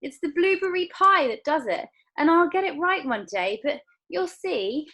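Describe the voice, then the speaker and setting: A woman's voice: sits high, unhurried, pitched above the performer's own voice. A woman doing a fussy, fretting candy maker. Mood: rueful. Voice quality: fussy, anxious. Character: fretful eccentric male candy maker. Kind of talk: storybook read-aloud.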